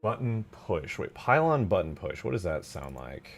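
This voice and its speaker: A man with a low, fry-heavy voice talks casually to himself as he works through game sounds. His delivery is hesitant and muttered, yet it moves up and down in a lively way. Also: normal speed, soft background music. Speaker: game modder and video creator. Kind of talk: casual thinking aloud while testing game sounds.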